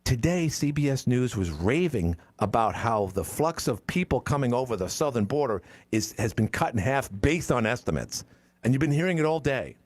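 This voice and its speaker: A man's voice: mid-range voice, very animated, normal speed, darkish tone, no room sound. A man of sixty, hosting a call-in radio talk show, opinionated and rambling, often breaking off and restarting his sentences. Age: 60s. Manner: rambling, opinionated, self-interrupting. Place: radio studio.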